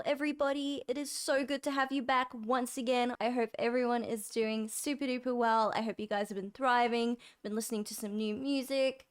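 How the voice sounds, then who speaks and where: Young woman, croaky voice, smooth clear tone, bubbly vlog chat. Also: on the high side. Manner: bubbly, enthusiastic. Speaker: music reaction channel host. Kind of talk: casual chat to camera.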